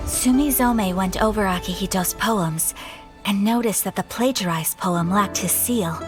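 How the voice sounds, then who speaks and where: A woman narrating a tale in a game with calm, measured storytelling. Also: medium pitch, over music, natural voice.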